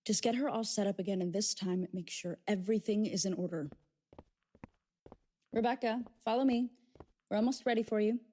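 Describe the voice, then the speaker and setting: An actress playing a brisk, patronizing female institute staffer in a radio drama, controlling and falsely cheerful. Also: mid-range voice, mellow, lightly acted. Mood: curt, sardonic. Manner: condescending, controlling.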